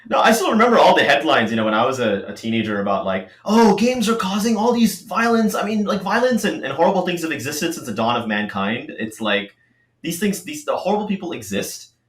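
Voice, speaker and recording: A man's voice: on the high side, big pitch swings, a little fast, echoey room. A man from Singapore, a startup co-founder, talking casually and animatedly on a podcast with many fillers.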